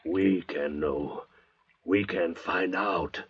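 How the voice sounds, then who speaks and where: An actor playing a threatening, suspicious and hot-tempered convict in an old-time radio drama, with theatrical delivery. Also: male voice, sits low, steady tone, extremely slow, slightly rough, exaggerated voice. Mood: menacing. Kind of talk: 1940s-50s radio drama acting. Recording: dull, hazy old radio recording.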